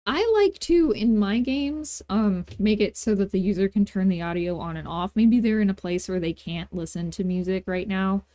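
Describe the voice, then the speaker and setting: A woman hosting a casual, unscripted software tutorial, talking in a playful, folksy way with informal grammar and self-deprecating asides. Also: medium pitch, normal speed, hardly any pauses, no echo. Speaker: tutorial host.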